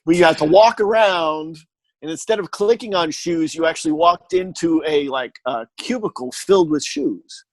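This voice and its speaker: A middle-aged man telling stories in a casual chat, animated and full of jokey asides. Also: a little high, normal speed, dry recording, low-quality recording. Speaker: guest. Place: living in Texas.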